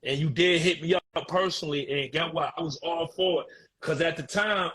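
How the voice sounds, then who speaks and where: Husky-voiced man in his forties. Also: on the high side, brisk. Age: 40s. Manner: animated, emphatic, street slang, repeats phrases. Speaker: guest. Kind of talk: casual podcast conversation.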